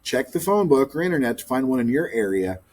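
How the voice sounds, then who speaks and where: A man narrating a children's book in an expressive read-aloud style. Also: mid-range voice, brisk. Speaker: storybook reader, teacher.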